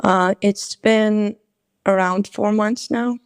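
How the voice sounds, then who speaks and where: A woman's voice: mid-range voice, steady tone, slow pace, clear, resonant tone, no echo, clean studio sound. A woman in her twenties from Morocco, speaking English as a second language, answers interview questions hesitantly, often searching for words. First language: not English. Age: 20s. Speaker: interview guest. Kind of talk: conversational interview.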